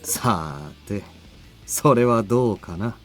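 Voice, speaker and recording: An actor playing a cocky, fearless young fighter in a visual novel, taunting and self-assured. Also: male voice, lowish voice, animated, unhurried, music in the background. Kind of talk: Japanese visual novel voice acting.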